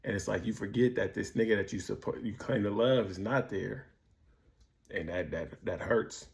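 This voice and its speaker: Man, American accent, gravelly low voice, casual slangy delivery, candid, repetitive phrasing. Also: normal speed, a little mellow. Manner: slangy, repetitive, searching for words. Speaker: vlogger sharing personal experience of prison.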